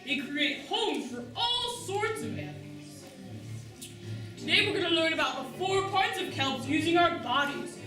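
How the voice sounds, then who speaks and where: A woman playing a lively, bossy manta ray teacher, giving encouraging lessons to children in a puppet show. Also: very high voice, moderate pace, over music. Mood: instructive. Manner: exclamatory, encouraging, instructive. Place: large room at open house puppet show. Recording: very echoey hall, far mic, crowd.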